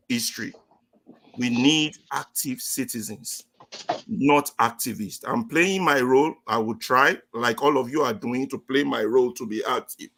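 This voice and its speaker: A man with a Nigerian accent, a host giving prepared remarks in a measured, declamatory way. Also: mid-range voice, sharp tone. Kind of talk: prepared talk-show remarks.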